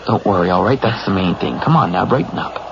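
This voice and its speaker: Casting: doubting husband, suspense radio play; very animated intonation, reassuring yet easily exasperated, muffled sound. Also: male voice, low-pitched, fast, vocal fry. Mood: soothing. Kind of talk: suspense radio drama acting. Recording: muffled radio recording with loud background noise.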